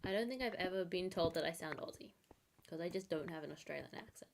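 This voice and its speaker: A woman's voice: on the low side, quick pace, vocal fry. A woman live streaming from home, chatting casually and rambling with many fillers. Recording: noisy home stream audio.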